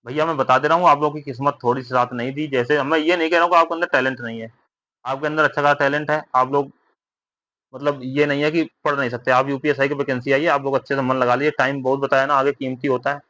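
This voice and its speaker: Male exam-update vlogger, bright insistent tone, advising and reassuring, close dry sound. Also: medium pitch, steady tone, fast, no echo, dull audio. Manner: repetitive, with frequent tag questions seeking agreement. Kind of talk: informal explainer addressed to exam candidates.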